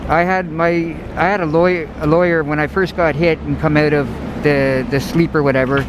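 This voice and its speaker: A man talking outdoors on a city sidewalk, hesitant and halting, with many restarts. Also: fairly high pitch, flat delivery, background noise. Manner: hesitant, many restarts and fillers, soft-spoken. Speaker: interviewee. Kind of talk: halting casual talk.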